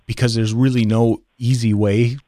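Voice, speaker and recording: A man in his fifties telling a personal story as a podcast guest in a reflective, halting way. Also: mid-range voice, little pitch movement, dark tone, no room sound, studio quality. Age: 50s.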